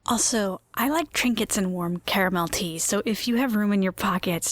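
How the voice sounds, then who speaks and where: A woman's voice: mid-range voice, vocal fry, no room sound. A woman reading first-person story narration, then chatting as the bubbly host of an in-world radio program, with rambling asides. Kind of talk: scripted audio drama narration and monologue.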